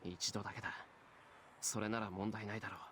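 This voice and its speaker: An actor playing an earnest, troubled teenage boy muttering to himself in a Japanese visual novel, breathy and husky. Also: lowish voice, flat delivery, very fast, fairly bright tone. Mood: uneasy, muttering.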